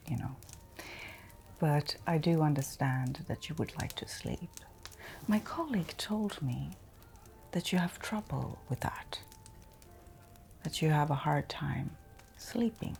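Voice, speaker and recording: A woman acts out a kindly forest innkeeper in a fantasy ASMR roleplay. Her soft, whispered voice is low and creaky, and she takes her time, soothing and reassuring the listener. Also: slight background noise, full character voice. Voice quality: soft, breathy, largely whispered. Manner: slow, gentle, caring, reassuring.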